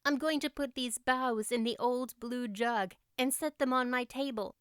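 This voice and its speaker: Woman voicing a high, dreamy, exuberant young girl. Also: measured pace, a bit bright, smooth, dry recording, clean studio sound. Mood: dreamy, eager. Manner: gushing, breathless enthusiasm. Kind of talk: audiobook character voice.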